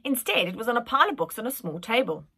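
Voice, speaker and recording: A woman narrates a children's storybook aloud. Her delivery is dramatic and highly varied in pitch, in a bright, forceful voice with a bit of rasp. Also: fast talker. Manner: animated, dramatic. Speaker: schoolteacher reading a storybook aloud.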